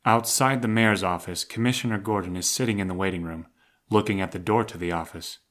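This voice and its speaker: A man's voice: sits low, brisk, fairly bright tone. A man narrating a scripted audio drama, reading out stage action.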